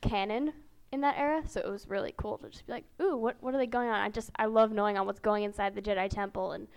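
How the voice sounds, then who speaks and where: A woman's voice: medium pitch, fairly even intonation, fast talker, creaky, clean tone. A woman on a podcast panel, giving her opinions in casual fan chat.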